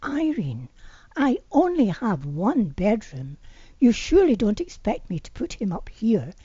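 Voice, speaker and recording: A woman's voice: fairly high pitch, wildly swooping pitch, measured pace, mellow, breathy edge, no echo, muffled recording, full character voice. A woman reading the lines of a wry, stubborn younger heroine in an amateur audiobook. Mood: adamant. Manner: wry, firm. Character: adult woman interior designer, guarded, wry, stubborn. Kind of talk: amateur audiobook character dialogue.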